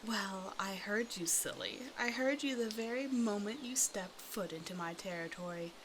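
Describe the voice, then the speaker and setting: A woman performs a predatory female naga, half snake, in an ASMR audio roleplay. Her medium-pitched voice toys with the listener, smug and condescending under a sugary, teasing surface. Noticeable ambient noise sits behind her. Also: normal speed, close to an everyday voice. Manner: teasing, condescending, mock-sweet pet names.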